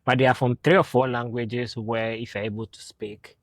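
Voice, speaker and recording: A man's voice: mid-range voice, moderate pace, no room sound. Young guy from ghana hosting a laid-back chat, laughs a lot, dry close sound. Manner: relaxed, laughs often, loose rambling questions. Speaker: podcast host. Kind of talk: casual interview chat.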